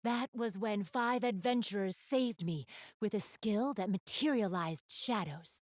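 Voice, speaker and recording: A woman's voice: medium pitch, measured pace, darkish tone, dry recording, studio quality, close to an everyday voice. A woman playing a gracious, refined young goddess in a video game, speaking to the player in polite, elegant, formal phrasing. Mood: earnest recounting.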